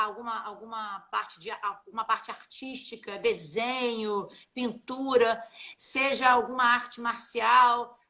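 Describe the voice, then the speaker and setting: A middle-aged woman talks with a bright, high voice and plenty of spark. She speaks as a teacher in a relaxed live chat and keeps acting out small scenes in quoted voices. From Brazil. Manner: animated, acts out little scenes with quoted voices. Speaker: guest English teacher.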